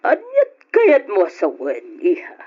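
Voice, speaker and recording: Inquisitive auntie, slow swooping voice. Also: female voice, high voice, wildly swooping pitch, exaggerated voice. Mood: probing surprise. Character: caring, inquisitive auntie confiding with young woman. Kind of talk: old Ilocano radio drama acting. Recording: dull lo-fi old radio recording.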